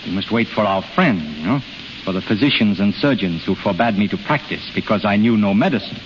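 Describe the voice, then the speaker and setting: An actor playing a proud, excitable unorthodox healer who speaks in short emphatic commands, in 1930s radio drama style. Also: male voice, lowish voice, a little fast, natural voice. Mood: triumphant, defiant. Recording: muffled, hissy, reverberant 1930s radio recording.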